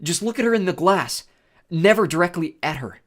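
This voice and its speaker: A clever, bossy girl, acted out by a male reader from a storybook adventure. She is insistent and urgent, pitched up from the narration, with animated intonation. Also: a little fast. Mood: brisk, bossy.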